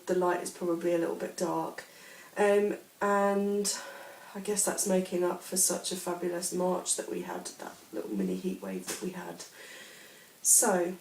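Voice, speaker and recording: A woman's voice: lowish voice, darkish tone, recorded from a distance. Sniffly, rambling woman with a cold. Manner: rambling, hesitant, full of ums and restarts. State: has a bad cold, sniffly. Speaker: podcast host. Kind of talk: casual chatty craft podcast. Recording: roomy echo, speaking away from the mic.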